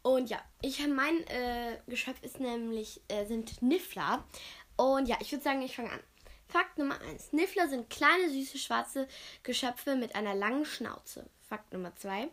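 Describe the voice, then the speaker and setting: A woman's voice: high-pitched, a little slow, a bit bright. A woman hosting a casual podcast, cheerful as she reads facts out in a list. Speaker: podcast host.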